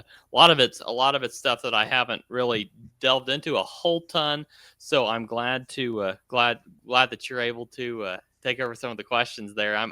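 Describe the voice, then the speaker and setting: A man with a very bright, smooth, crisp voice co-hosts a casual podcast. He sounds upbeat and chimes in readily, and the recording is dry and close. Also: medium pitch, dry recording. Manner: upbeat, quick agreeing reactions. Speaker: co-host.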